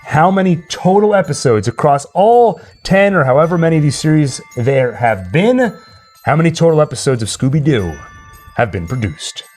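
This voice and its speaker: A man's voice: on the high side, expressive, normal speed, a little mellow, soft background music. A man hosting a casual livestream quiz, playful and thinking aloud as he goes. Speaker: host and trivia quizmaster.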